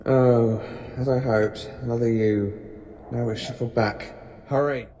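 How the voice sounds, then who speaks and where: A man acting as a clever, commanding professor in an audio drama, speaking in a theatrical, deliberate way. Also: medium pitch, steady tone, warm tone, a little hiss or hum, lightly acted. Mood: urgent explaining. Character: commanding, clever professor, weary rescuer of others. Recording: long reverb with music behind. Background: music.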